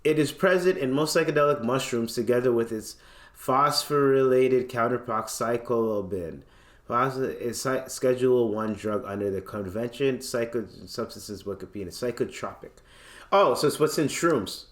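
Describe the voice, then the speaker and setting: A man's voice: medium pitch, normal speed. A man hosting a podcast, reading news aloud theatrically and commenting in casual, playful slang. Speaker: podcast host. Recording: echoey, roomy sound.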